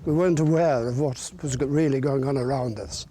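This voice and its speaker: A man's voice: mid-range voice, a little fast, mellow. An elderly man, a war veteran, speaks reflectively in an interview. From Britain. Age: 80s or older. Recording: very noisy outdoor location recording.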